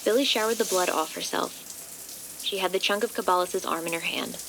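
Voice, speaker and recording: A woman's voice: lowish voice, brisk, creaky, a bit bright, a little hiss or hum, poor audio. A woman playing the composed narrator of a horror audio drama, reading scripted prose as a storyteller. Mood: composed, ominous.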